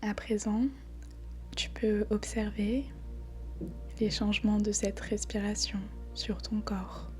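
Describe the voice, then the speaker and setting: A woman guiding a body scan meditation in a soft, breathy, near-whispering voice, calm and soothing, in short phrases with long pauses. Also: mid-range voice. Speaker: meditation guide. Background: faint background music.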